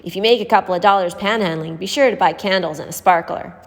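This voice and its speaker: A woman's voice: lowish voice, fast, vocal fry. A woman voicing an eager, good-natured, helpful street boy in a story, cheerful and earnest. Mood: earnest, generous. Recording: echoey hall-like reverb.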